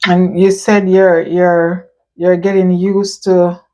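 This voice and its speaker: A woman interviewer asking questions slowly and hesitantly, with many fillers. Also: lowish voice, little pitch movement, warm tone, poor audio.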